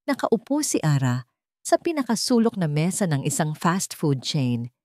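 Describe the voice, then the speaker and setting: Filipino woman narrating a drama, lower-pitched. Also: a little mellow, no room sound, clean studio sound. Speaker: audiobook narrator. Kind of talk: dramatic scripted story narration.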